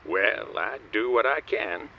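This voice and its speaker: A man playing an obliging shopkeeper with a gravelly voice in a comedic radio play. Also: medium pitch, animated, slow pace. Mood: affable. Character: obliging small-town junk shop keeper, male. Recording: dull lo-fi, faint noise.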